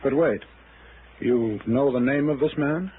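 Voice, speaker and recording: An actor playing a courteous, curious medical specialist in a 1960s radio drama, halting and professional. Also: male voice, mid-range voice, close to an everyday voice. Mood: puzzled, curious. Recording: narrow-band vintage radio, faint noise.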